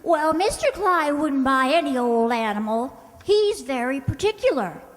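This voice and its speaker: Ditzy, earnest young secretary, acted by a woman, high voice, radio sitcom, echoey hall. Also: lively intonation, unhurried. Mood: earnest, cheerful. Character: sweet, scatterbrained young secretary, earnestly literal. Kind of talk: old-time radio comedy acting.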